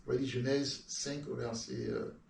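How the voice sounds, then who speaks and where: A man's voice: mid-range voice, flat delivery, normal speed, gravelly, roomy sound, distant mic, slight background noise. A man born in France with his own London-tinged French accent, preaching a sermon and reading scripture, repeating words and stumbling into rising emphatic bursts. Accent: French with a London-influenced lilt.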